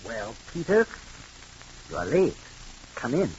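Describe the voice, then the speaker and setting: A coldly polite spy ringleader in a 1940s radio thriller. He is curt and commanding, his pitch swinging widely. The recording is muffled with faint noise. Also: very animated, slow. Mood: cold, suspicious.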